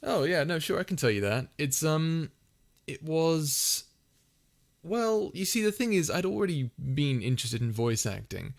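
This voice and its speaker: Rambling man with a soft voice. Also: fairly high pitch, big pitch swings, measured pace, gravelly. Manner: rambling, full of fillers and restarts; soft, soothing voice. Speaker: ASMR channel host answering viewer questions. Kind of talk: casual livestream Q&A.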